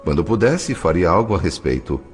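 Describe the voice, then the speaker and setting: Low-voiced man narrating over faint music. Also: very animated. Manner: measured, formal storytelling. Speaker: audiobook narrator. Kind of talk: scripted literary narration.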